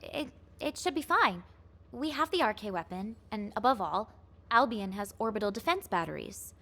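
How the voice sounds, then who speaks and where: A woman's voice: medium pitch, expressive, normal speed, sharp tone. A woman playing an anxious, earnest executive officer in a video game, hesitant and stammering. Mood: nervous, apologetic.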